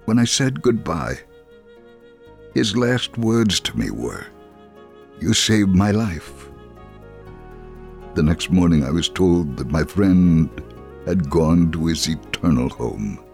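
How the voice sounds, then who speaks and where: Male story narrator for a scripted testimony, lower register, soft music bed. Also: expressive, takes it slowly, vocal fry, long pauses.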